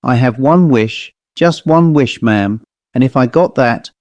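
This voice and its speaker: A man's voice: medium pitch, measured pace, a little mellow, no echo, lightly acted. A man voicing a tearful, fretful young maid who pleads and keeps repeating "ma'am". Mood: pleading. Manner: pleading, breathless repetition of ma'am.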